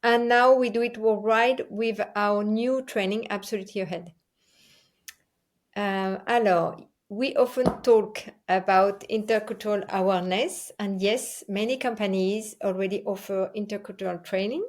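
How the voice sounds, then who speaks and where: A woman with a French accent and native French hosts a live online talk show in a hesitant, halting way. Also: mid-range voice, fairly even intonation. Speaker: host.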